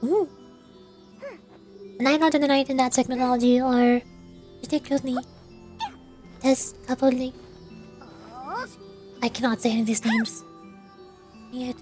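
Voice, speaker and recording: A woman's voice: sits high, fairly even intonation, measured pace, pauses often, darkish tone. A woman livestreaming a game, chatting casually with viewers and tripping over hard words as she reads aloud. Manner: chatty, stumbles over hard names, reading chat aloud. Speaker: VTuber game streamer. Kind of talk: casual livestream chat while gaming. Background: music.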